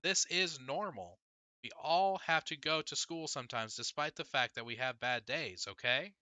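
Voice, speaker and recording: A man's voice: mid-range voice, moderate pace, pushed and bright, dry recording, pitched above the performer's own voice, close to an everyday voice. A man reading an audiobook as an exasperated, insistent teenage big sister, emphatic and pleading. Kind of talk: audiobook character dialogue.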